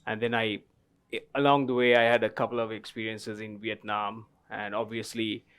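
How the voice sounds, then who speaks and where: A man from Sri Lanka in his thirties speaks at a live festival panel. His voice sits low and comes across smooth and resonant. He tells his story in an easy, rambling way, with plenty of ums along the way. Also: flat delivery, moderate pace, fairly bright tone. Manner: storytelling, many fillers, laughs at own story. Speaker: tea company guest. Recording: live festival stage talk.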